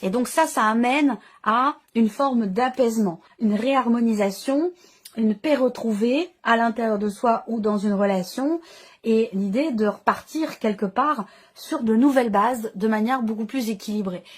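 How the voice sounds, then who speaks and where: A woman's voice: on the high side, moderate pace, slightly rough. A woman giving an improvised, intuitive tarot reading as host, feeling her way through phrases and restating ideas in lists. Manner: hesitant, searching phrasing with repeated enumerations. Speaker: tarot card reader and channel host.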